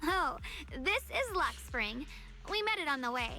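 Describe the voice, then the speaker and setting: Very high, earnest young heroine. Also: female voice, sing-song, measured pace, pushed and bright, soft background music, exaggerated voice. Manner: eager, earnest. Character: earnest, caring young female adventurer. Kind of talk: anime game voice acting.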